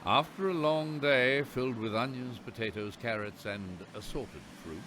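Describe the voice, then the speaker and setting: A man's voice: medium pitch, moderate pace, noisy background, close to an everyday voice. A man playing the narrator of a radio play, telling the story in scripted, descriptive prose. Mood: descriptive storytelling.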